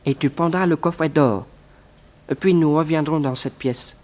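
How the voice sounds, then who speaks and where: An actor playing a cold, methodical conspirator in a 1960s French radio play, speaking deliberately. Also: male voice, a little high, a little mellow, close to an everyday voice. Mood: cold, secretive. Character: cold, methodical male conspirator plotting a robbery.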